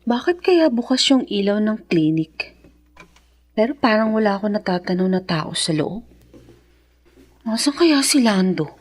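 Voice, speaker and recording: A woman's voice: medium pitch, big pitch swings, unhurried, long pauses. A Filipino woman acting an anxious, suspicious live-in partner in a radio drama.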